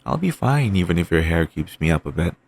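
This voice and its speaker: A man voicing an obsessive, doting young boyfriend, rambling softly in a bedtime comfort roleplay. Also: sits low, sing-song, fairly quick, gravelly, exaggerated voice. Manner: soft, rambling, affectionate murmuring.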